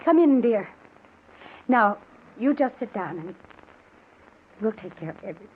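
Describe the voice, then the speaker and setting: A woman playing a gentle, anxious wife who speaks in endearments, in an old-time radio drama. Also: mid-range voice, lively intonation, moderate pace, close to an everyday voice. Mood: soothing. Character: soothing, anxious wife of a disturbed man. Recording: tinny, noisy old radio recording.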